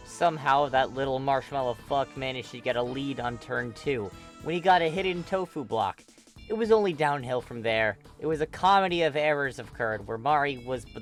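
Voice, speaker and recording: An amateur reader narrating a fan fiction story in a dramatic, animated way. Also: medium pitch.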